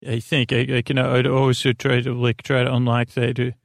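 A man with a creaky voice hosting a sleep podcast in a soothing, lulling bedtime monologue, meandering and stammering through tangents. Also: mid-range voice, completely flat delivery, dry recording, clean studio sound. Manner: meandering, halting, stammering with tangents and self-interruptions. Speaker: podcast host.

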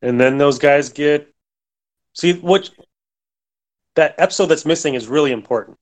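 A man's voice: fairly high pitch, moderate pace. A man hosting a podcast in casual, unscripted chat. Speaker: podcast host.

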